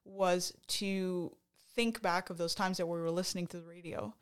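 A woman from Canada co-hosting a podcast, talking excitedly in casual chat and often interrupting herself. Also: lowish voice, normal speed, clean tone. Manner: excited, chatty, self-interrupting. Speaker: podcast co-host.

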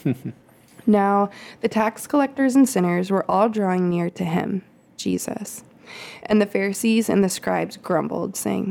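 A woman who serves as a children's pastor leads an informal Bible study on a podcast. She talks in a relaxed, rambling way, with ums and little laughs. Her voice has a noticeable creak. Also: medium pitch. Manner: chatty, laughing asides, frequent ums. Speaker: kids' pastor.

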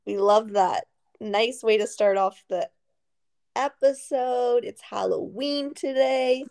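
A woman's voice: a little high, slow pace, creaky, a little mellow. A young woman co-hosting a casual chat podcast, teasing and laughing often. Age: young adult. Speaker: podcast co-host.

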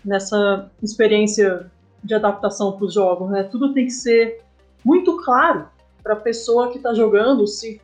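A woman's voice: medium pitch, a little slow. Brazilian woman chatting over faint music. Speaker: interview guest, comic artist and game writer. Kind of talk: casual interview conversation. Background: background music.

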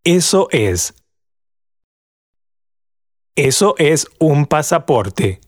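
Slow, deliberate Argentine man modeling phrases. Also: a little high. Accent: Argentine Spanish. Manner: clear, deliberate, lively intonation. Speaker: language guide.

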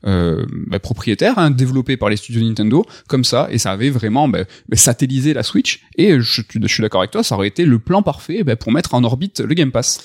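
A man's voice: mid-range voice, expressive, fairly quick, few pauses, no room sound, studio quality. A man hosting a casual video game news podcast, chatty and upbeat, with many fillers and restarts. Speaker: host.

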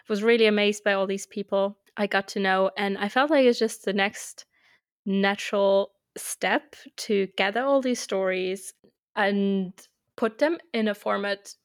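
Woman in her twenties, German accent, podcast host, casual and upbeat, mid pitch, dry close mic. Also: smooth, dry recording. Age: 20s. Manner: chatty and upbeat, with fillers and laughs. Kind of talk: casual solo podcast intro.

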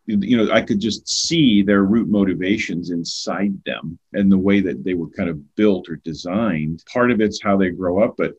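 A man's voice: deep voice, normal speed, hardly any pauses, husky. An older man talking earnestly as a podcast guest, often repeating words as he starts a thought. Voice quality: loud voice. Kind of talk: conversational podcast talk. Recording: dull lo-fi sound.

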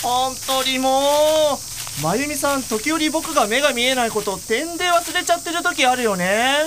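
An actress playing a blind art lover, casual, frank and gently exasperated, in an audio drama. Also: female voice, sits high, expressive, moderate pace, background noise. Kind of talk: Japanese audio drama acting.

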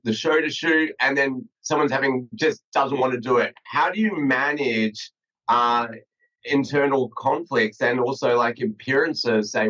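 A man's voice: medium pitch, fairly bright tone, lo-fi sound. A man with an Australian accent hosting an interview over a video call, asking long questions with many fillers and restarts. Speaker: podcast host.

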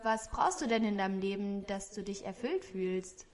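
A woman interviewing someone, asking questions and offering calm, encouraging reflections. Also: medium pitch, normal speed.

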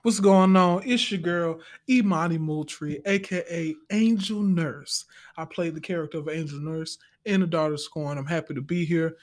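A woman's voice: lowish voice, moderate pace, few pauses. An American woman speaking in a casual interview style as a podcast guest. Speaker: podcast guest, stage play actress.

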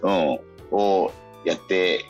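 A man hosting a casual radio talk show in a halting way, often repeating his short responses. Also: low voice, dull audio. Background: faint music.

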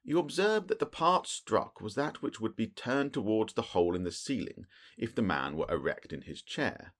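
A man acts out a keen male detective from a storybook, pitched up from a low natural voice. He is precise, dry and sure of himself, explaining things incisively with lively melody. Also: normal speed. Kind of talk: audiobook character voice.

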